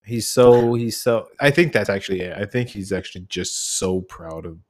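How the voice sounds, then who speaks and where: A man chatting casually, with a halting, informal delivery. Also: fairly low pitch, darkish tone. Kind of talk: casual chat.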